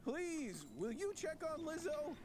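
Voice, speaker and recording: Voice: high voice, slow pace, crowd noise, exaggerated voice. An actor voicing a worried game townsperson who begs the player for help against undead mobs. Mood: anxious. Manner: pleading, lively. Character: worried townsperson guarding a street. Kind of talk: game voice-over dialogue. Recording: game audio with background music.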